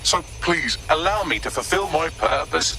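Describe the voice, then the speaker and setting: A British artificial intelligence assistant for a video game. The voice sits fairly high and moves animatedly up and down. It is crisply worded, witty and sardonic, with a formal, snide edge. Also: male voice, expressive, normal speed, bright, forceful tone, slight background noise, full character voice. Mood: earnest. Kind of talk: scripted video game voice acting.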